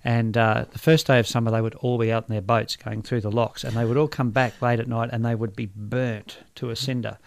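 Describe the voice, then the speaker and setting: A man in his forties with an Australian accent, a doctor talking as a guest in a radio studio, relaxed and thoughtful with frequent fillers. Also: mid-range voice, a little fast, gravelly, few pauses, no echo. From Brisbane, Australia. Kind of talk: radio interview. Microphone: studio microphone.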